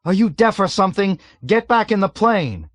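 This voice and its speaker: A man voicing a panicked young male bombardier who shrieks and yells frantically. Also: mid-range voice, expressive, slow, no echo. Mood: hysterical.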